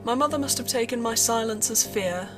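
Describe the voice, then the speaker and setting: A woman's voice: fairly high pitch, brisk. A woman reading a scripted horror story as narrator, in first person. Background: music.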